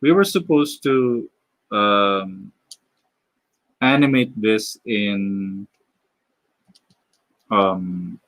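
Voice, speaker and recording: A man from the Philippines with a Filipino accent, chatting casually on a live stream while drawing, halting, with many fillers and restarts. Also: lowish voice, a bit bright, no room sound. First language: Filipino (Tagalog). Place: home.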